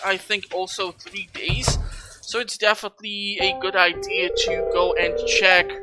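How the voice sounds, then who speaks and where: A person giving an informal video game walkthrough, thinking aloud while playing. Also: medium pitch. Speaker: gaming YouTuber, walkthrough host. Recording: loud game music behind. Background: video game music.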